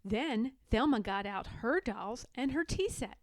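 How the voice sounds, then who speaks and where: Female storybook reader, lively swooping delivery for young children, dry sound. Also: a little high, measured pace, dry recording. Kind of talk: expressive children's read-aloud.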